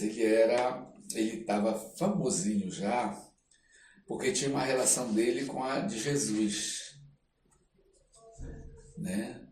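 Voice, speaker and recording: A man's voice: lowish voice, darkish tone. An older man with a Brazilian accent tells anecdotes conversationally, acting out dialogue and checking in with short tag questions. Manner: acts out quoted dialogue, frequent tag questions. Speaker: podcast guest, theatre artist and writer. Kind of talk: animated anecdotal storytelling in casual conversation. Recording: roomy echo, distant mic, faint noise.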